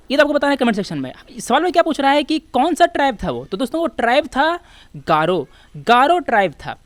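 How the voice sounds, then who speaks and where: An Indian-accented woman with a fairly high voice gives an exam-prep lesson in a very dry recording. She explains in an instructional way, puts questions to her viewers and restates key words for emphasis. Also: no echo. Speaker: online exam-prep teacher.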